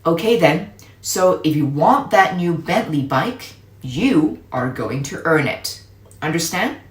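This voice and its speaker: A woman's voice: deep voice, expressive, slow pace. A woman voicing a stern, no-nonsense uncle who instructs his young nephew in a storybook read-aloud, firmly and emphatically. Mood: firm instructing. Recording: roomy echo, faint music behind.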